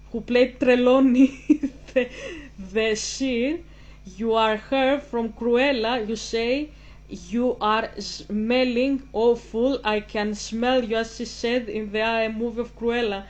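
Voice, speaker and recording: Greek lady talking slow to camera, kinda high voice, keeps restarting mid sentence. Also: dull audio. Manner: halting, restarts while translating in her head. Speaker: channel host. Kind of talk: casual on-camera talk. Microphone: camera mic.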